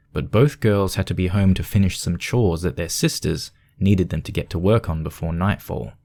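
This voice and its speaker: A man's voice: sits low, a little mellow, no echo. A man reading scripted narration for a spooky fan fiction story.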